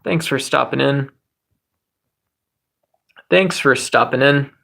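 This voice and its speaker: Rambling, gravelly-voiced streamer man. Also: slow, sharp tone. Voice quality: says his voice is high and squeaky at times. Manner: rambling, joking, greets viewers by name, swears freely. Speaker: livestream host. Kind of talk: casual livestream chat with viewers.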